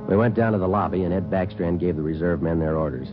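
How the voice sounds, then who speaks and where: An actor playing a terse, level-headed American police detective, narrating his case in clipped, deadpan sentences for a 1940s radio drama. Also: low-pitched, quick pace, close to an everyday voice. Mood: matter-of-fact. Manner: deadpan, matter-of-fact, clipped. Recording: muffled old 1940s radio recording, music behind.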